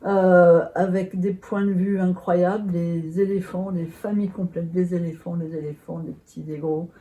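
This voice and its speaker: Mellow, low-voiced woman. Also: level tone, normal speed. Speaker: travel vlog narrator. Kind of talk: unscripted travel recollection.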